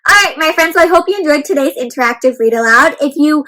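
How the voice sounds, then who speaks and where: Woman, high voice, children's read-aloud host, animated storytelling delivery, interactive, talks straight to kids. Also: quick pace. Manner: animated, expressive, addressing children directly with tag questions.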